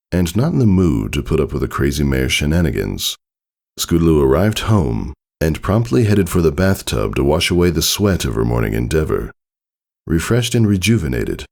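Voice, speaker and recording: Very deep, gravelly male narrator. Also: a little mellow. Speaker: narrator, fan fiction reader. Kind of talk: scripted storybook narration.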